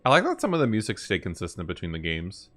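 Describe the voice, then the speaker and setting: Animated man giving casual reaction commentary. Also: low-pitched, fast talker, soft background music. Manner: informal, questioning. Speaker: gaming reaction host.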